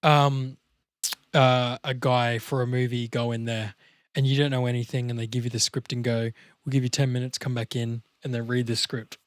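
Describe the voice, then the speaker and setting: A man with a medium-pitched voice, recorded dry with very little room sound. He chats informally as a podcast host, explaining how to approach a script and putting questions to his partner. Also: flat delivery, normal speed, no room sound. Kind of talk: casual, explanatory chat about acting.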